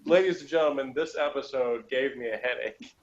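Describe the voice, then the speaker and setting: A man's voice: mid-range voice, a little fast, a crowd in the background. A man chatting casually as a review panellist, mocking and exasperated, given to hyperbole. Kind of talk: casual comedic review chat. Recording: dull lo-fi audio.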